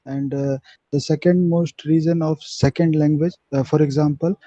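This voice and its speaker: A man's voice: a little high, fairly even intonation, moderate pace, dark tone, no echo, highs cut off. A male student with an Indian English accent, a native Maithili speaker, answering his teacher politely with many hesitations.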